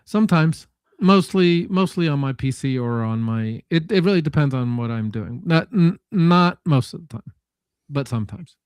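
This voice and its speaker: A man's voice: a little high, animated, moderate pace, a little mellow, clean tone, no echo. Man thinking aloud, dry close sound. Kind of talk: casual tech discussion.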